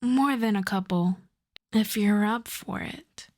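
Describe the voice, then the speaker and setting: Woman playing a slow-talking, flirtatious female villain. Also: medium pitch, darkish tone. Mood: earnest, flirtatious. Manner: unhurried, smooth-talking, teasing, self-assured. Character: suave flirtatious female supervillain courting her costume maker. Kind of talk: roleplay audio drama monologue.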